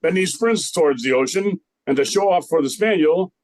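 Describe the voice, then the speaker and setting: A man's voice: fairly high pitch, lively intonation, normal speed, dry recording. An older man narrating a scripted radio play as its storyteller.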